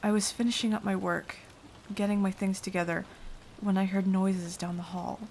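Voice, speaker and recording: A woman's voice: mid-range voice, level tone, a little hiss or hum, close to an everyday voice. A woman playing a frightened, self-sacrificing young victim, telling of a vampire attack as dramatic ASMR roleplay. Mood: fearful, haunted. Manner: hushed, dramatic, deliberate storytelling.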